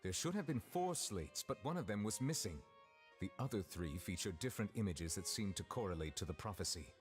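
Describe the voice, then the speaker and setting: An actor playing a stern, solemn adult male judge in a video game, speaking formally and in measured phrases. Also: fairly low pitch, animated, close to an everyday voice. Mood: grave, questioning. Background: orchestral game music.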